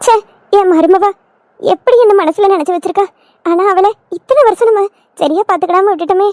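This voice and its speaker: A woman's voice: very high voice, brisk, a little mellow, no echo, faint music behind. A woman playing a doting older village mother-in-law in a family skit, motherly and emotional, with a southern Tamil Nadu accent. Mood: remorseful. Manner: motherly, fussing, emotional, coaxing.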